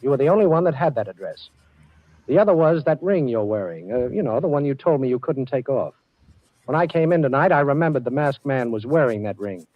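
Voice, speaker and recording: Guy playing a cool wry detective type in a 40s radio show, mid-pitched, unflappable, muffled old recording. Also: expressive, fairly quick, dry recording, natural voice. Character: cool, wisecracking male amateur sleuth. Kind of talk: 1940s radio drama acting.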